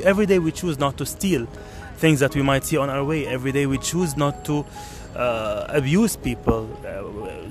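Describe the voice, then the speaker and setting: A man from Kuwait who is being interviewed outdoors talks earnestly and thoughtfully, often pausing with fillers. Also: mid-range voice. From Kuwait, Lebanese family. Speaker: interview guest. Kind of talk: street interview. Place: outdoors at a city square monument.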